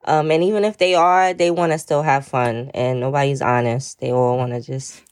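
A woman's voice: a bass voice, fairly even intonation, normal speed, darkish tone, dry recording. A woman, a podcast guest speaking bluntly and laughing easily in casual chat. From Brooklyn, New York, family from Saint Lucia. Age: 30s.

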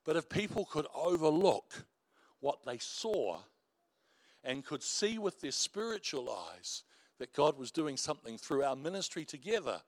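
A middle-aged man preaches with a big voice, telling stories from the pulpit and poking fun at himself. His voice sits a touch high for a man. The sound is dry, with little room around it. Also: dry recording. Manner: animated storytelling preacher, warm asides and self-mocking laughs. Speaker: pastor. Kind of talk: sermon.

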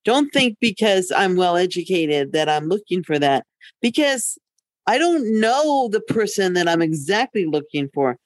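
A woman's voice: on the low side, very animated, unhurried, no echo. An older woman co-hosting a podcast in casual conversation, candid and anecdotal with a dry joking streak. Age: older, over 50. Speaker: podcast co-host.

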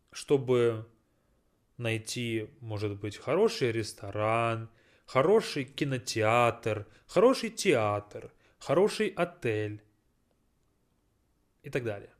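A young man from Russia teaches at an unhurried pace. His pitch swings widely as he stresses key words. He repeats phrases and translates them for learners. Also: a little mellow. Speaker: language teacher. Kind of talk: slow teaching monologue mixing Russian and English.

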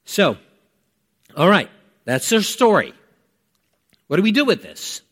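Higher-pitched man preaching conversationally. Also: wildly swooping pitch, slow pace, fairly bright tone, breathy edge. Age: 50s. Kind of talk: conversational sermon with personal testimony.

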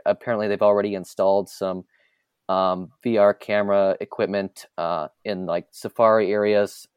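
A man with a smooth, resonant low voice speaks as a podcast guest. He explains things hesitantly, pausing and reaching for words with frequent fillers. The sound is dry and close. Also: darkish tone, no room sound. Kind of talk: casual podcast chat.

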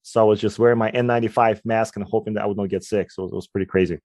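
A man in his forties from Ukraine, with a Ukrainian accent, answering interview questions in a measured, explanatory way. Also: on the low side, steady tone, darkish tone, no room sound, clean studio sound. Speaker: guest, quantitative researcher.

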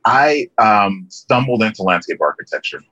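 A deep-voiced middle-aged man from Liberia talks as a guest in a relaxed interview, full of ums and restarts. His tone is bright and forceful, and the recording sounds dull and lo-fi with a dry, close feel. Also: normal speed, dry recording. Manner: relaxed, many ums and restarts, laughs easily. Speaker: interview guest, landscape architect. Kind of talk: casual interview conversation.

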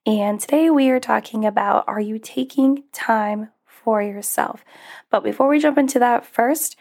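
A woman's voice: a little high, a little slow, gravelly, a little mellow. A young woman hosting her own podcast, talking casually and encouragingly with little laughs, her voice a bit strained as it recovers from being lost. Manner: upbeat, encouraging, with frequent little laughs and fillers. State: recovering from losing her voice, may crack. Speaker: podcast host and life coach. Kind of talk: casual solo podcast talk.

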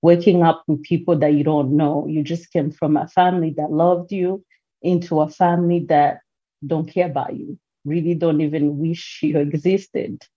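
Low, husky woman. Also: level tone, dark tone. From Rwanda. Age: 40s. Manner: earnest, measured, with pauses. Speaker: podcast guest. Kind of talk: personal testimony. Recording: muffled lo-fi recording.

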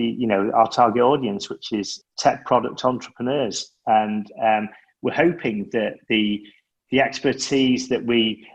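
A man co-hosting a startup podcast, explaining in a measured, explanatory way. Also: medium pitch, highs cut off. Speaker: podcast co-host and startup founder. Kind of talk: casual podcast chat.

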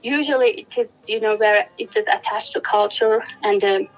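A woman from Turkey with a Turkish accent, giving an earnest, steady interview answer with many fillers and restarts. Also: mid-range voice, normal speed, lo-fi sound. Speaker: guest, education specialist.

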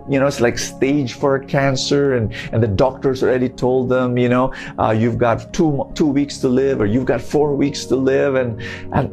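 A man's voice: medium pitch, vocal fry. A man preaching an earnest podcast sermon, often repeating phrases and speaking straight to the listener.

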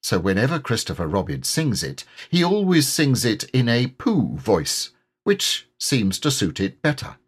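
A man reading a children's story as an audiobook narrator, in an expressive, measured way. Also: mid-range voice, slightly rough. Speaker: audiobook reader.

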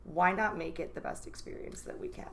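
Gravelly, enthusiastic woman teacher. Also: lowish voice, a little fast, a bit bright, slight background noise. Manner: enthusiastic and chatty, peppered with "like". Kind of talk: casual interview chat.

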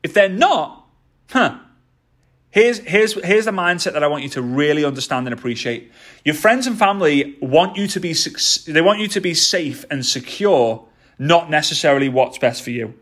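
A man in his thirties gives a motivational talk on his podcast. His voice sits a little high for a man. He speaks with energy and keeps repeating his key points for emphasis. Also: animated, moderate pace, a bit bright. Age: 30s.

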